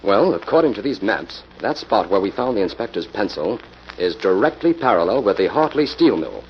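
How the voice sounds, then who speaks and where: A man's voice: fairly low pitch, big pitch swings, moderate pace. An actor playing an earnest, methodical male investigator in a golden-age radio drama. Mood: deliberate. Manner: earnest, decisive, explanatory. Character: decisive, methodical male crime-fighting investigator hero. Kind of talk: 1940s radio acting. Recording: muffled, noisy old radio recording.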